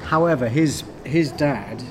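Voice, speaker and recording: Man, dry wry manner, mid-pitched voice, loud vehicle noise behind. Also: a little slow. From Wales. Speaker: musician guest. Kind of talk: casual interview talk.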